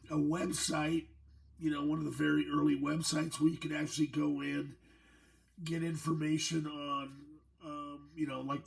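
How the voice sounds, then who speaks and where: Hoarse, gravelly-voiced man, slow and joking. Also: on the high side, poor audio. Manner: joking, chuckling between remarks. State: hoarse, losing his voice from a virus. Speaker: channel host. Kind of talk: casual demo vlog.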